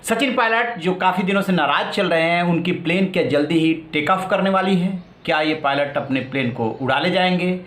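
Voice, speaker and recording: A man's voice: a little high, a bit bright. A man anchoring the news, explaining politics in a conversational way with rhetorical questions. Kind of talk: news commentary, explaining politics in a semi-scripted way. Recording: roomy, echoing voice.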